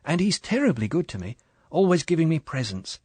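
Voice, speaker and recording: A man voicing a young, pretty English wife who talks in a soft, chatty, apologetic way. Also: on the high side, sing-song, fairly quick, husky, no room sound, voice pushed up. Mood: eager.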